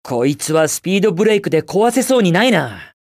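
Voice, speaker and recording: A man's voice: high-pitched, very animated, quick pace, no echo, studio quality. A man voicing a cocky, carefree young hedgehog speedster hero in a video game, with a cool, brash, quippy delivery. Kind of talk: Japanese video game character voice lines.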